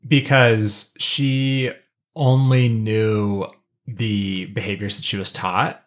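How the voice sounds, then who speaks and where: A man's voice: fairly low pitch, little pitch movement, slow pace. A man co-hosting a podcast, talking through his analysis casually in a thoughtful, explanatory manner. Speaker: podcast co-host.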